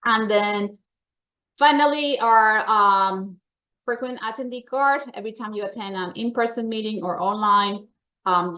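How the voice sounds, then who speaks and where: The audio is slightly dull and lo-fi. A woman with a Colombian accent and a medium-pitched voice presents announcements to members. Her delivery is informal, chatty and upbeat. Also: a bit bright.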